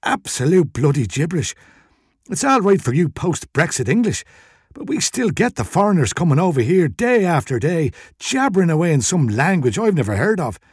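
A man voicing a burly, irritable Irish shopkeeper in a short story, wheezy and gruff in his complaining. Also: a little high, sing-song, normal speed, dry recording, studio quality, voice pushed up. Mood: grumbling.

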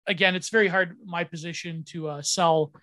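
High voiced guy rambling on a podcast, lots of ums, dry close sound. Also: steady tone, normal speed, pushed and bright, no room sound. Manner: rambling and hesitant, many fillers and restarts. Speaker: podcast guest. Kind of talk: casual conversation.

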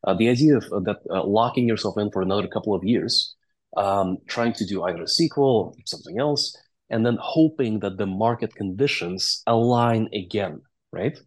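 Low-voiced man. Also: normal speed, poor audio. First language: Russian or Latvian. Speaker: interview guest, game company founder and CEO. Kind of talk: conversational interview storytelling.

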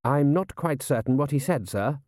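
A man voicing a nervous, hesitant young army lieutenant with halting speech in an audiobook. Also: medium pitch, very animated, mellow, dry recording, clean studio sound, lightly acted. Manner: halting, stammering.

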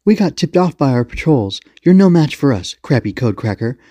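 A man's voice: mid-range voice, very animated, moderate pace, dark tone, dry recording, voice pushed up. A man voicing a brash, cocky, foul-mouthed young policewoman commander in a story, barking slangy taunts. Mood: threatening.

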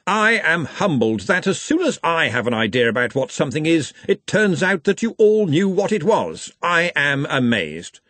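A man's voice: on the high side, sing-song, moderate pace, hardly any pauses, a bit bright, no echo, voice pushed up. A man voicing a pompous older wizard in charge, with an oratorical manner, in a fantasy audiobook. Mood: sarcastic.

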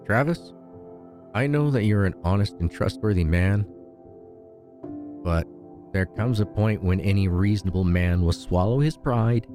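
A man's voice: low-pitched, warm tone, close to an everyday voice. A man playing a proud, slippery brother in money trouble in an audio drama, desperate and cynical.

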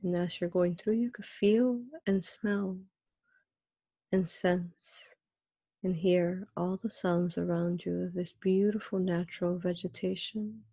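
A woman leading a guided meditation in a soft, breathy voice, soothing and hypnotic, with drawn-out, repeated phrases. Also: lowish voice, fairly even intonation, dry recording.

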